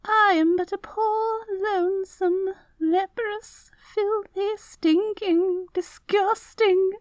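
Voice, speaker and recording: A woman's voice: very high-pitched, steady tone, takes it slowly, dry recording. A woman voicing a poor, wretched old beggar woman, meek and pleading with melodramatic self-pity, in a fantasy roleplay. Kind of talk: scripted fantasy audio roleplay.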